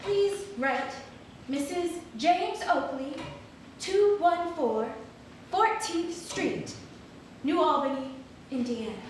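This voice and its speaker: Slow, high-voiced actress as solemn daughter. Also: female voice, lively intonation, full character voice. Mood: solemn, deliberate. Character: solemn daughter searching for her father's scattered relatives. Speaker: stage reader. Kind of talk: dramatic reading of historical letters. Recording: very echoey hall, distant, faint noise.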